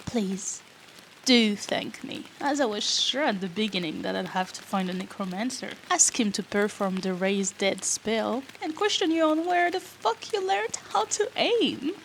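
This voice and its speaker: A woman's voice: mid-range voice, a little slow, creaky, low-quality recording. A woman playing a bratty, foul-mouthed female rogue in a fantasy audio roleplay, teasing the listener with cheeky, sarcastic banter. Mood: mocking, smug. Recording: rain noise behind the voice.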